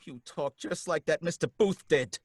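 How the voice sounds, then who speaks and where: An actor playing a jittery working-class cab driver in an old-time radio drama, pleading and excitable with folksy slang. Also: male voice, on the high side, normal speed, husky, no room sound, studio quality.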